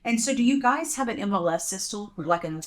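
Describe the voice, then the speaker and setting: An American woman hosts a relaxed interview. She talks quickly, stays friendly, and steers the talk along, with a slightly rough mic sound. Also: medium pitch, echoey room. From United States. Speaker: podcast host. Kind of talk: casual interview chat. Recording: poor mic.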